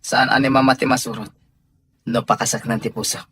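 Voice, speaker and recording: A man performs a family man in an Ilocano radio drama. His voice is mid-pitched and a little husky, with an Ilocano accent. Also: flat delivery, fairly quick, fairly bright tone. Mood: worried.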